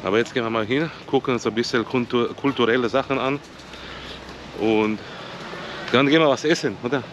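A man's voice: mid-range voice, a little fast, dull audio. A man in his thirties narrating a casual travel vlog outdoors, talking into an action camera at arm's length and mixing in English words. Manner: chatty, mixes in English words. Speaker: travel vlogger. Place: outdoors in old town streets. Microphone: action camera held at arm's length. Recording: noisy outdoor street ambience.

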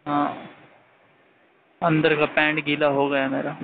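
A man's voice: fairly high pitch, completely flat delivery, takes it slowly. Man asking questions over a thin phone line. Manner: insistent, repeated short questions. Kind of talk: casual phone conversation. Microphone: phone call. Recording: tinny phone call with faint noise.

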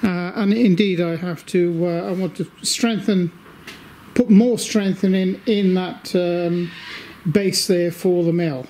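An older man giving a casual update from his home workshop, talking slowly with lots of ums and restarts while holding the camera. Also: sits high, fairly even intonation, mellow, slight background noise, low-quality recording. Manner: slow, rambling, many fillers. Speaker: workshop video maker.

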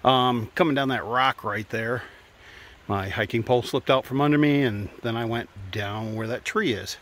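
A man's voice: medium pitch, moderate pace, fairly bright tone. A man vlogging casually while hiking a forest trail, chatting into his phone and sometimes out of breath. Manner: chatty, narrating while walking. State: out of breath at times. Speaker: hiker vlogger. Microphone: handheld phone. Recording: faint wind and stream noise.

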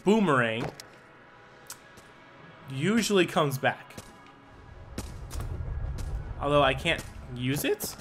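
Excitable high-voiced man playing a game. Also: very slow, long pauses. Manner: excitable, thinks aloud, many restarts and exclamations. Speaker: gaming commentator. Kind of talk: let's play commentary. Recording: game music under the voice. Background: game music.

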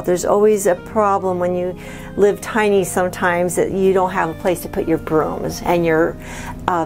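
A woman's voice: mid-range voice, darkish tone. Spanish-native woman giving a home tour. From born in Cuba. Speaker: homeowner giving a house tour. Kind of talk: casual guided home tour. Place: small wood-panelled house interior. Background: background music.

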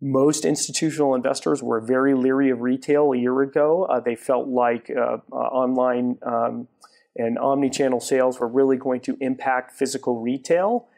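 A man with a gravelly, creaky edge to his mid-pitched voice talks as a studio guest. He starts sentences over, then settles into steady explanation. Recorded on a lapel mic on a TV studio set. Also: moderate pace. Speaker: guest, retail property developer. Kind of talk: studio interview.

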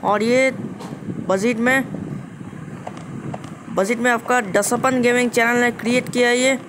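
A man's voice: very high voice, flat delivery, measured pace. A man hosting a gaming channel with casual gameplay commentary, repeating and restarting phrases as he addresses viewers. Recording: noisy, vehicle engine sound behind voice.

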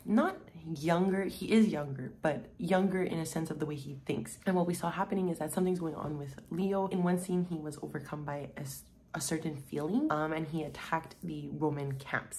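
Low-pitched young woman vlogging casually. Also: a little mellow, slight background noise. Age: 20s. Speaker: book vlogger. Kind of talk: casual vlog talk. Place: small bright room by a window, indoors.

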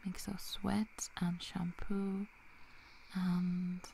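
Asmr lady playing a werewolf girl from the pack, teasing but protective, super slow hushed talk, really crackly fry. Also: on the low side, flat delivery, exaggerated voice. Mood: guarded, softly teasing. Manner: slow, hushed, breathy delivery with long pauses. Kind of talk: ASMR roleplay, soft half-whispered.

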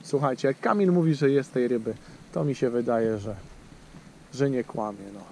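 A man's voice: mid-range voice, animated, moderate pace, a little mellow, a little hiss or hum. A man in his thirties hosting a casual fishing vlog from a small boat, chatting to his friend and talking straight to viewers with lots of fillers. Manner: chatty, addresses viewers directly, many fillers. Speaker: fishing channel host. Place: small boat on a lake outdoors. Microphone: action camera on the boat.

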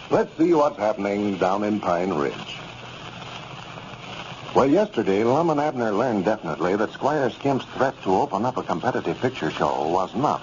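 A man's voice: on the low side, a little mellow, highs cut off. An American man announcing a 1930s radio show with a polished, friendly scripted delivery. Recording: band-limited 1930s radio recording, faint noise.

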